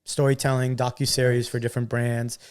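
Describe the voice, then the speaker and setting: A man's voice: medium pitch, flat delivery, fairly quick, clear, resonant tone. A man talking as a podcast guest, earnest and upbeat, repeating words and using many fillers. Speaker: guest, entrepreneur. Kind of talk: casual interview chat.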